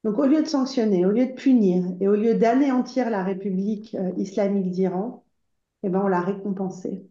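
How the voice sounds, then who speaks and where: Woman from Iran, impassioned conference speech, urgent and earnest, hesitant fillers, mellow voice, echoing room. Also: mid-range voice, brisk. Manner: earnest and urgent, calls the audience to action, frequent "euh" hesitations. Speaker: association spokeswoman speaking at a conference. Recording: roomy, slightly dull conference recording.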